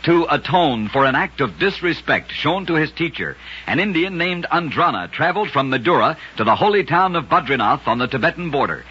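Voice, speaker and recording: Bright, raspy old-time radio storyteller man. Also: fairly high pitch. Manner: dramatic, emphatic storytelling. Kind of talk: scripted old-time radio narration of oddities. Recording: dull lo-fi vintage radio recording.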